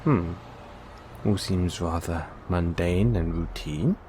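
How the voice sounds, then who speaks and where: A man playing a gentle, caring android owner in an intimate one-sided audio roleplay, coaxing and inquisitive, with long pauses. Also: sits low, a little hiss or hum. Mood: curious.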